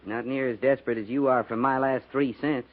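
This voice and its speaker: An American actor playing a folksy, cash-strapped army sergeant, a stubborn dry haggler, in a 1950s radio Western. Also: male voice, medium pitch, fairly even intonation, brisk, natural voice. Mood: dry, needling. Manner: drawling rustic wording, needling. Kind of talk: 1950s radio drama acting. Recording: muffled 1950s radio recording.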